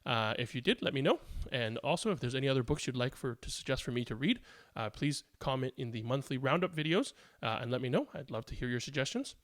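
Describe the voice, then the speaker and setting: A man with a gravelly voice, hosting and reading bedtime picture books aloud for young children. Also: medium pitch, animated, fast talker, no echo, studio quality. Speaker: host of a children's read-aloud show. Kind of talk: casual chat and bedtime picture book read-aloud.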